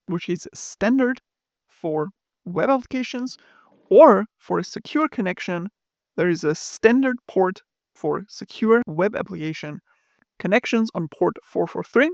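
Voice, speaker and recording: A man narrating a scripted technical tutorial, explaining smoothly and evenly. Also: sits high, expressive, unhurried, no echo, muffled recording. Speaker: course instructor.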